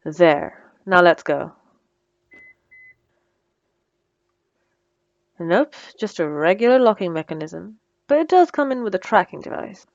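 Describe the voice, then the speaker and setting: A woman's voice: on the low side, expressive, moderate pace, no echo, dull audio. A woman playing a wry, menacing supervillain in a scripted audio roleplay, teasing and condescending, with cool threats. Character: wry, menacing female supervillain mentoring a captive assistant.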